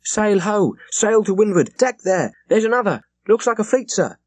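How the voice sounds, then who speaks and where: A man plays a sailor on lookout in a sea-story audiobook. The voice is pushed high and loud, hailing urgently across the deck. The sound is dry and close. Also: animated, moderate pace, no echo. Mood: excited. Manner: urgent, calling out loudly. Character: young naval lookout hailing urgently from the masthead. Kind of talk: audiobook character voice, shouted hail.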